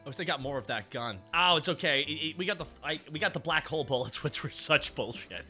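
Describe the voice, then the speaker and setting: Animated man bantering on stream. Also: on the high side, fast, faint music behind. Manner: joking, bursts into silly accent impressions. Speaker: VTuber streamer. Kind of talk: casual livestream banter.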